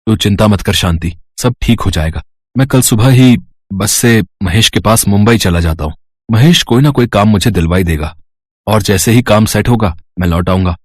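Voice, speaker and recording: Man playing a humble, low-voiced poor villager. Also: normal speed, no room sound, studio quality, lightly acted. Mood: reassuring. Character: poor, humble village husband, worried but reassuring. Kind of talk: animated storybook cartoon dialogue.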